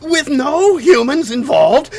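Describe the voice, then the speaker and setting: An actor playing a nervous, secretive male whistleblower in an audio drama, halting and anxious, easily agitated. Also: extremely high pitch, sing-song, creaky, crowd noise, full character voice. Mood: agitated, indignant.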